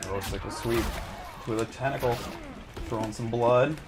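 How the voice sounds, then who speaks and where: A man's voice: medium pitch, normal speed. A man hosting and giving casual live commentary on a fighting game stream. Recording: clear background noise.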